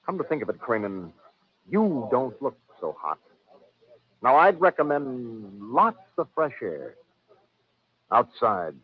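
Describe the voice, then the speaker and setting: An actor playing a guilt-ridden, short-tempered politician, terse and irritable, in an old-time radio drama. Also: male voice, medium pitch, sing-song, slow, pauses often. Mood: uneasy. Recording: muffled, noisy old radio recording.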